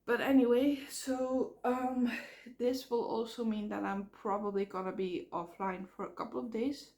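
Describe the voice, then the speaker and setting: A woman vlogging outdoors by a road, talking frankly in a halting way while she comes down with a cold and her voice cracks. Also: on the high side, steady tone, a little mellow. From Netherlands. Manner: frank, halting, repeats words. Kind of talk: casual rambling vlog. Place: outdoors near traffic.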